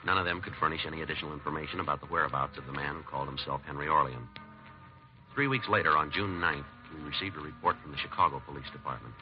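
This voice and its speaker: A stoic police detective in an old radio crime drama. His voice is extremely low, with a quick, clipped, deadpan delivery. The vintage recording sounds dull. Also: over music. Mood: matter-of-fact. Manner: deadpan, clipped, matter-of-fact. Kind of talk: 1950s radio police drama, dialogue and narration.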